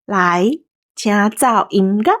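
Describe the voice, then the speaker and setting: A woman's voice: mid-range voice, steady tone, slow, fairly bright tone. A woman with a Taiwanese accent co-hosting a show, bantering with a partner and addressing listeners. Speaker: co-host.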